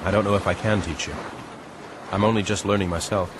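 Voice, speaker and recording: An actor playing an earnest, considerate gentleman castaway in a radio drama, with a mild, hesitant, courteous manner. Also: low-pitched, fairly even intonation, fast talker, close to an everyday voice. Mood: apologetic. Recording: noisy boat and water ambience behind.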